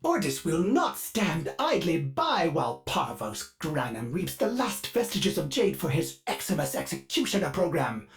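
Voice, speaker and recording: A doting, courteous ship AI from a video game, his filtered synthetic voice swinging lively in pitch. He talks of himself in the third person, and now and then his words stutter and loop like a faulty machine. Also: moderate pace, hardly any pauses, soft background music. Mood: cheerful. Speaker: ship's onboard assistant.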